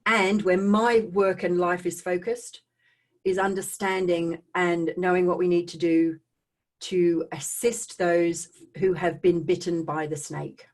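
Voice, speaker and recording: A middle-aged woman with an Australian accent giving a personal talk to online viewers in a conversational way, with animated asides and checking in with her listeners. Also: fairly low pitch. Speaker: speaker hosting a live online talk on trauma recovery.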